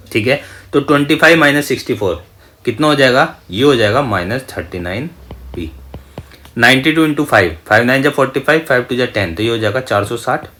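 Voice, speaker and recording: A man's voice: medium pitch, a bit bright. A man teaches a maths lesson step by step, often asking questions and answering them himself, and mixing in English terms. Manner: mixes English terms into speech. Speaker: maths teacher for competitive exam coaching.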